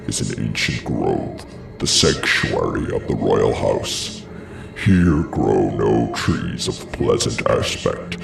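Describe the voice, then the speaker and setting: An actor playing a grave, horrified messenger in a classical tragedy, declaiming a dramatic account in a gravelly voice. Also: male voice, rumbling low, echoey room, far from the mic, exaggerated voice. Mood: grave foreboding. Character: horrified royal messenger recounting a ghastly sacrificial murder. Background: music.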